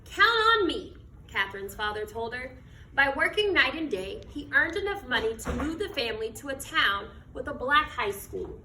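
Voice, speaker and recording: An American woman reading a picture book aloud to children like a teacher, with expressive, emphatic delivery and questions to her listeners. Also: sits high, moderate pace. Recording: echoey room, distant mic, background noise.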